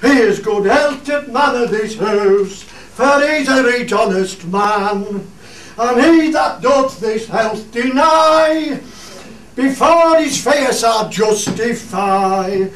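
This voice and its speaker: A man's voice: very high voice, lively intonation, slow pace, fairly bright tone, exaggerated voice. A man with a Cumbrian accent playing a rustic shepherd who leads toasts and old songs in a dialect radio play. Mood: hearty, ceremonial. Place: studio theatre. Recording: echoey stage, distant mic, faint noise.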